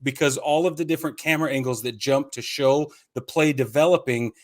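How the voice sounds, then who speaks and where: A male podcast co-host talks through sports in a casual, conversational way. He is opinionated and explanatory, laying out his argument step by step in a mid-pitched voice. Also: fairly even intonation, a little fast.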